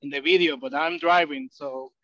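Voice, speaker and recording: A man's voice: mid-range voice, fairly even intonation, normal speed, pushed and bright, dry recording, muffled recording. A man calling in to a video meeting while driving, introducing himself in a friendly way. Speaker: financial analyst. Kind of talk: self-introduction on a video call.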